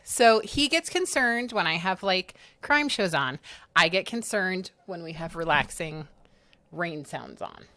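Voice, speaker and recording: A woman's voice: lowish voice, expressive, a little slow, sharp tone. A woman chatting on a livestream, telling stories in a bubbly way with lots of fillers. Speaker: livestream co-host. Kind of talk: casual livestream chat.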